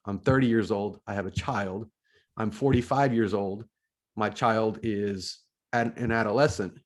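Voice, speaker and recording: A middle-aged man hosting a podcast, explaining his ideas in a casual monologue and often restarting phrases as he checks his notes. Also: fairly low pitch. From United States. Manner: thinks aloud, often restarting phrases while reading from notes. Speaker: podcast host.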